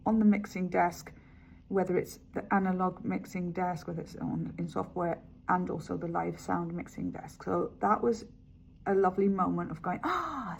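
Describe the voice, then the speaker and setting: Husky woman raised in Colombia, Spanish native. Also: medium pitch, a little mellow, poor audio. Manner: candid, thoughtful, talks with her hands in the words, many "like" and "you know". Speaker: music production student. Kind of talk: reflective testimonial.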